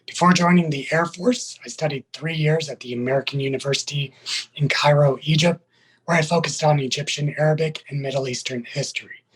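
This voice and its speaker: A man gives prepared interview answers as a military sergeant. His voice sits a little high and sounds husky, with a formal, careful and earnest delivery. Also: fairly quick, low-quality recording.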